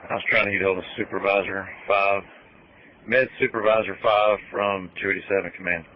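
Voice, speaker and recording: A man's voice: low-pitched, steady tone, fairly quick, slight background noise. A man making brief emergency calls over a two-way radio. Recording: dull lo-fi fire radio.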